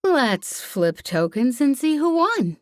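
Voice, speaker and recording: Lady doing a cocky young woman smuggler pilot, cheery swaggering drawl, super bouncy pitch, audiobook style. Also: big pitch swings, slow, no echo, studio quality, full character voice. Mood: tipsy drawl.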